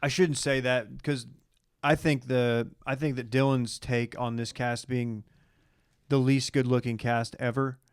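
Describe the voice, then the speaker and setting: A man talks casually about television in a smooth, resonant voice of medium pitch. The recording is close and dry, free of echo. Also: level tone, measured pace. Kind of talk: casual chat about a reality TV show.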